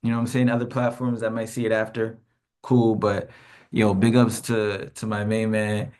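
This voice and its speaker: Slangy hip hop vlogger man, lowish voice. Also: completely flat delivery, moderate pace, a little mellow. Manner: slangy, rambling, self-reflective, laughs often. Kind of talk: casual reflective vlog monologue.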